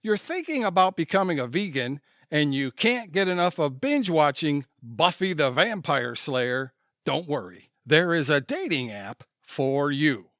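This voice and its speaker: Higher-pitched male comedy narrator. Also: very animated, measured pace, fairly bright tone, no echo, studio quality. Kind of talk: scripted comedic narration in announcer manner.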